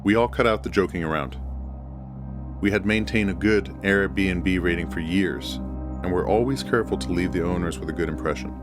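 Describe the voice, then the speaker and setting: A man reading a first-person horror story in a measured, deliberate storytelling manner. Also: deep voice. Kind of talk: first-person scripted narration. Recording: music bed under the voice. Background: music.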